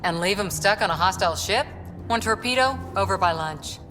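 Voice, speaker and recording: A woman playing a blunt, sarcastic spaceship crewmate in a video game, speaking in a wry, brisk way. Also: mid-range voice, lively intonation, fairly bright tone, lightly acted. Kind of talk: casual video game dialogue. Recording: reverberant, faint music underneath.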